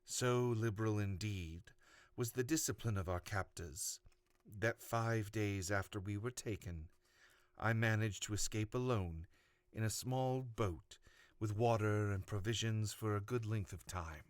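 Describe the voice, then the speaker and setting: Low-voiced man narrating horror, dry sound. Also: unhurried, no room sound. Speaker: host and narrator of horror story readings. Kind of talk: dramatic audiobook-style narration.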